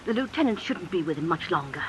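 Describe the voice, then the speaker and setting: A woman's voice: on the low side, big pitch swings, fast talker. A woman playing a polite, gossipy housekeeper in a vintage radio drama. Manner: polite, concerned. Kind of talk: 1950s radio drama acting. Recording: old radio broadcast, faint noise.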